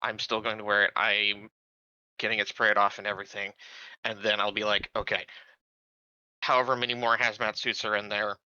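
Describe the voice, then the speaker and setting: Low-pitched man with a very bright edge. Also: level tone, normal speed, no echo, muffled recording. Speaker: tabletop game player. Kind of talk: casual in-game planning talk.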